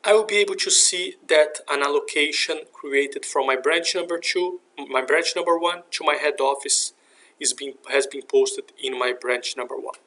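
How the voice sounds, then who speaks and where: A man giving a step-by-step software tutorial in an explanatory, instructional way. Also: a little high, normal speed, rough recording. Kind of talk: scripted software tutorial narration.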